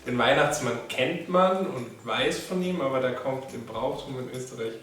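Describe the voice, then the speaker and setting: A man's voice: mid-range voice, expressive, normal speed, fairly bright tone. A young man from Austria with an Austrian German accent, chatting casually and laughing between phrases. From Graz, Austria. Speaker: guest. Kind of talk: casual chat. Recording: echoey room, mic at a distance, faint music.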